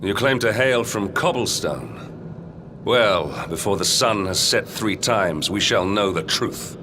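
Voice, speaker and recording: An actor playing a stern, menacing military commander in a video game, speaking imperiously and with deliberate threat. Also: male voice, lowish voice, lively intonation, noisy background. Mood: cold threat. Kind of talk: dramatic video game cutscene acting. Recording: music under the voice.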